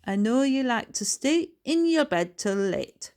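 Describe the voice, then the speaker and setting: A woman's voice: sits high, big pitch swings, a little slow, a little mellow, pitched above the performer's own voice, full character voice. A woman voicing a hearty, folksy older female adventurer in a storybook, with a lilting dialect and an affectionate, exclamatory manner.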